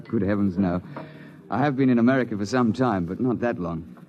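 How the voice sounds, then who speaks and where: An actor playing a brisk, middle-aged British big-game guide in a 1950s radio drama, clipped and colloquial. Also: male voice, on the low side, dull audio, slight background noise, natural voice. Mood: brisk, firm. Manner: clipped, colloquial, no-nonsense storyteller. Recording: 1950s radio broadcast with music.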